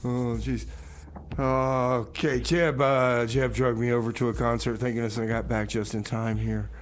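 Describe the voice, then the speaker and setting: Male community radio host, medium pitch, casual and folksy, halting rambles, faint background noise. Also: little pitch movement. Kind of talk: casual rambling music-show DJ talk.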